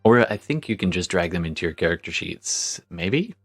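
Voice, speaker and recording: A man's voice: low-pitched, sing-song, normal speed, a bit bright, dry recording, faint music behind. A man chatting informally as game master of an online tabletop game, often muttering to himself as he works. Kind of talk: casual chat while running an online tabletop game.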